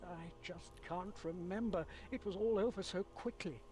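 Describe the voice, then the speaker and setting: A man's voice: high voice, moderate pace, dark tone, soft background music, close to an everyday voice. A man voicing a distraught, devout old monk in a video game, faltering and pleading with emphasis. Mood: troubled. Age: older. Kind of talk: scripted video game character acting.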